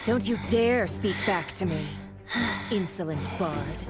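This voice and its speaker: Haughty game villainess: cold, mocking, lowish register, unhurried, condescending, music underneath. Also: female voice, lively intonation. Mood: threatening. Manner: condescending, mocking, slow deliberate delivery. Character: haughty cold villainess, elegant grown woman harbinger. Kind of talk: video game cutscene acting.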